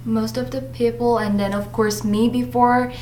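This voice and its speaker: A woman's voice: a little high, level tone, mellow. Earnest teenage girl from South Korea. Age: teens. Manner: earnest, slightly halting English. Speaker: student guest. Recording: echoey room, faint noise.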